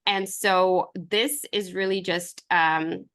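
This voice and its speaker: Lower-pitched woman presenter from Ukraine. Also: fairly even intonation, takes it slowly, fairly bright tone. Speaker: presenter pitching her herbal supplement line. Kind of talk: informal online business presentation. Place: online video call with screen share.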